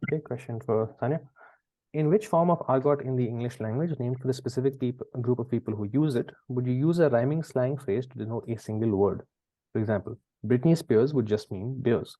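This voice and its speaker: A man's voice: mid-range voice, a little fast, dark tone, no echo, low-quality recording. A man hosting a quiz, reading questions and chatting conversationally with the players. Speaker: quiz host.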